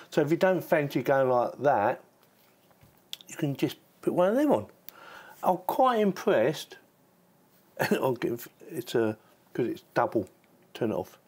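A man's voice: mid-range voice, big pitch swings, measured pace, long pauses, a little mellow. Casual man giving a chatty gadget review. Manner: chatty, informal, thinking aloud while handling the gear. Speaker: tech reviewer and channel host. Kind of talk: casual product review and demonstration.

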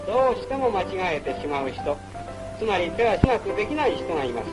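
A man's voice: high voice, very animated, quick pace, poor audio. A man narrating a wartime military training film in a formal, instructional manner, on an old film soundtrack. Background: music.

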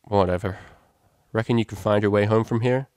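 A man's voice: fairly low pitch, steady tone, fairly quick, darkish tone, dry recording, studio quality, natural voice. A man voicing a disgruntled male pony in a storybook, blunt and sarcastic. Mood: sullen. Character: disgruntled, sarcastic male stallion pony, rejected drinking buddy.

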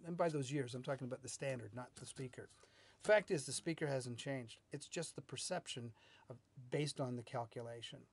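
Male, middle-aged, technical presenter. Mid-range voice, measured and a little halting, explaining to camera. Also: mid-range voice, darkish tone. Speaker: loudspeaker R&D manager, instructor. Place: small indoor video studio with whiteboard.

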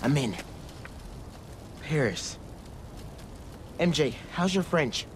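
A man's voice: a little high, animated, takes it slowly, noisy background. A man playing an earnest, youthful teenage superhero in a video game, speaking casually and urgently. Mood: focused. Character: earnest young male superhero, teenage son.

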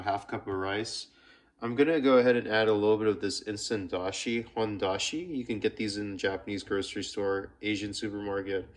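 Male food vlogger, congested from a cold, chatty and self-correcting, filmed at home in the kitchen. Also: fairly low pitch. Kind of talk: casual cooking vlog.

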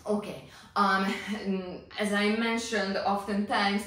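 Slow, calm woman in an echoey room. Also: mid-range voice, distant mic, slight background noise. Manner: calm, unhurried cueing with many fillers. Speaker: pilates instructor. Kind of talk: guided exercise instruction. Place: home exercise studio, indoors. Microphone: far camera.

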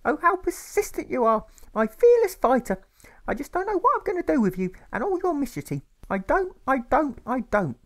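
A man's voice: very high voice, very animated, normal speed, pitched above the performer's own voice. A man voicing a distraught, doting Edwardian mother, whimpering and shrieking with fervent patriotic pride. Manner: whimpering, shrieking, gushing, repetitive.

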